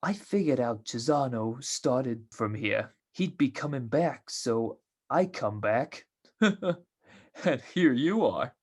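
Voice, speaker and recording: Man acting a small-time tough guy, unhurried, slangy, greedy, dim, streetwise, old radio crime drama. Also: mid-range voice, expressive, darkish tone, husky, exaggerated voice. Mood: boastful. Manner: drawn-out streetwise gangster slang.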